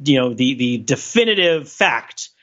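A man hosting a podcast, talking through ideas in a casual, analytical and halting way, often checking in with "right?". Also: mid-range voice, sing-song, a bit bright, dull audio. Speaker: podcast host.

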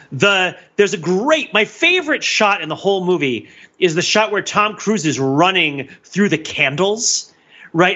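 A man's voice: on the high side, bright, forceful tone, dull audio. A man hosting a casual film discussion podcast, explaining things and often checking in with rhetorical questions. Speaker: podcast host.

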